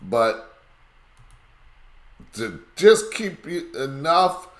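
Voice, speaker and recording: Raspy male talk host, emphatic and rambling, slow pace, roomy echo. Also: a little high, sing-song. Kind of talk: live call-in talk show commentary.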